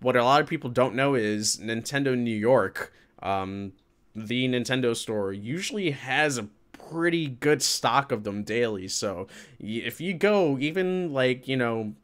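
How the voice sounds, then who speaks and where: A man in his thirties hosts a livestream in a loose, colloquial style. His voice sits at a middle pitch. He talks casually to his viewers and reacts to them in an animated way. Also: clear, resonant tone. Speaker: livestream host. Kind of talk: casual chat with live viewers. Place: indoor room with green screen.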